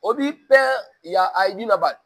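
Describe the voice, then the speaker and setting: A man's voice: high voice, lively intonation, normal speed, fairly bright tone, a little hiss or hum. A man from DR Congo with a Congolese French accent, speaking in an emphatic sermon-like cadence and mixing French with Lingala. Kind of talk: preaching-toned interview talk.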